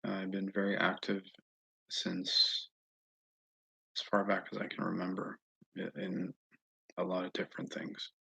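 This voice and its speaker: An American man chatting casually and haltingly on a livestream, often restarting his sentences as he thinks aloud. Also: sits low, monotone, vocal fry, sharp tone, husky, poor audio. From Northeast United States, mostly Massachusetts. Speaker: livestream host answering viewer comments. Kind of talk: casual rambling livestream chat.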